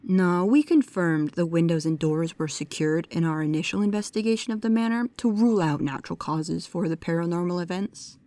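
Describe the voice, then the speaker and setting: A woman's voice: on the low side, a little fast, hardly any pauses, dark tone, natural voice. A woman playing a bookish, precise and nervous young paranormal investigator, speaking to the listener in an audio roleplay. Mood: pedantic, curious. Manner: pedantic, hesitant, earnest.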